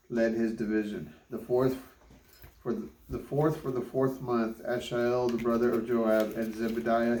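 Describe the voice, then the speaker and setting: Man, even-toned scripture reader, echoey room with faint noise, halting on tricky names. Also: medium pitch. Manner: stumbles over names, retrying pronunciations. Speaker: father reading scripture aloud. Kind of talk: Bible reading aloud. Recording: roomy echo, faint background noise.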